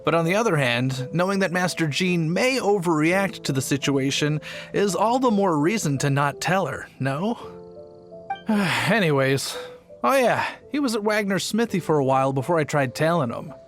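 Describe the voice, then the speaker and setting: Man as an easygoing, higher-voiced young gate guard. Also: expressive, normal speed, faint music behind, close to an everyday voice. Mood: easygoing, thoughtful. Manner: casual, nonchalant, chatty. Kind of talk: English anime-style game dub.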